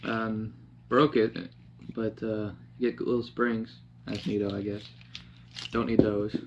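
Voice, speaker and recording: A man in his twenties talking casually through a hands-on DIY job, often halting and searching for words. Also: on the low side, flat delivery, highs cut off. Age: 20s. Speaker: DIY vlogger. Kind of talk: casual how-to narration while working. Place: indoors: vehicle cab in a shed, later a basement room. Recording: clearly noisy camera audio with clicks.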